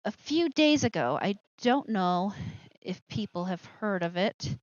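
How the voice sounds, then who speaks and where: A woman's voice: medium pitch, lively intonation, a little slow, no room sound, lo-fi sound. A woman hosting a live show with casual commentary, rambling and interrupting herself with asides.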